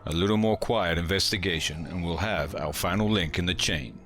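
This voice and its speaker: Man playing a low-voiced meticulous imperial inspector. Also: brisk, a bit bright, slight background noise. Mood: grave, calculating. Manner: precise, formal, clipped. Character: shrewd, meticulous, self-assured male imperial inspector. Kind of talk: dramatic audio comic dub.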